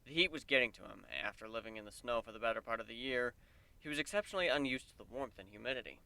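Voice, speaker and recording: A man's voice: medium pitch, animated, fast, creaky, sharp tone, no echo, rough recording. A man narrating a scripted fantasy story as a dramatic reading.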